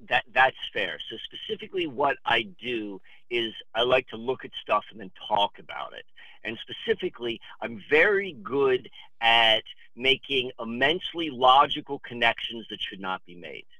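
A man in his fifties talking casually as a podcast guest, telling rambling stories in an excitable, digressive, emphatic manner. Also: mid-range voice, normal speed, creaky, dry recording, poor audio. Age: 50s. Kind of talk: animated rambling storytelling and casual chat.

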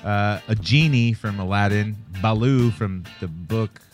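A man's voice: lowish voice, measured pace, soft background music. A laid-back man chatting casually about toy releases, laughing often and swearing easily.

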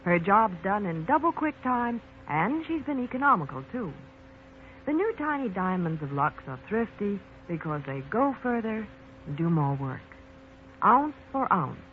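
A man playing a cheerful, confident soap pitchman in a 1940s radio commercial, with smooth, emphatic sales delivery. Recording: tinny 1940s radio with hiss.

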